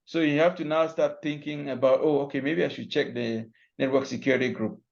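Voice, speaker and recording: Gravelly man explaining over muffled call. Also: medium pitch, steady tone, brisk, a little mellow. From Cameroon. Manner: loose, restarting, walks through examples step by step. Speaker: guest cloud security expert. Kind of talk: online panel talk, explaining his job.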